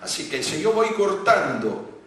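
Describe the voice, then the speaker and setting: A man from Italy with a light Italian accent, giving a public lecture with slides, talking haltingly with many fillers and restarts. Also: high-pitched, sing-song, a bit bright, a little hiss or hum. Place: large conference hall. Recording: very echoey hall, voice from far off.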